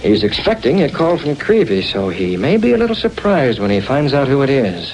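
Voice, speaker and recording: An American actor playing a righteous masked vigilante, crisp and authoritative, in a 1930s radio adventure. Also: male voice, mid-range voice, big pitch swings, a little fast, heavy background noise. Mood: brisk, decisive.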